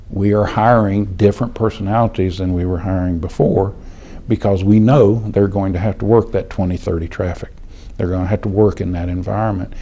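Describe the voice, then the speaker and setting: Mature American male, very deep and mellow, measured interview explainer with deliberate phrasing. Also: creaky. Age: middle-aged or older. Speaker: healthcare administrator, retired air traffic control official.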